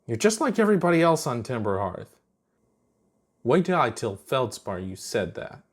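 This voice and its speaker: A man's voice: medium pitch, big pitch swings, normal speed. A man voicing a defensive, easily flustered young monster girl for a story. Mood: indignant. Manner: indignant, easily flustered, stammering.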